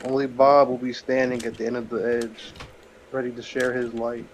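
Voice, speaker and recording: A man of medium pitch chats casually with friends while gaming, spinning mock-prophetic tales. There is faint noise on his voice chat line. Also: level tone, normal speed. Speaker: gamer in a group let's play. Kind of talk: casual banter during gameplay, with mock-prophetic storytelling.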